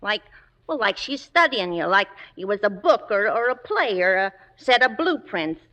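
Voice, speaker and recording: An actress playing a blunt, wisecracking maid in a radio drama, deadpan, folksy and plain-spoken. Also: female voice, medium pitch, moderate pace, big-room sound. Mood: dry, suspicious. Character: blunt, wisecracking female maid and confidante of a star. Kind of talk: 1950s radio drama acting.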